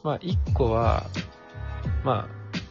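A man's voice: medium pitch, drawn-out words. A man co-hosting an online radio talk show in a casual two-person chat. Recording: dull lo-fi audio under clear background music. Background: background music.

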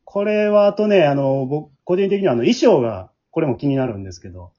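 A man's voice: a little high, big pitch swings, brisk, mellow. A man with a Kansai Japanese accent hosting a casual group chat, often chuckling as he talks. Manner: chuckles often while talking. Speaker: host of an amateur radio drama group's talk show. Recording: dull, lo-fi audio.

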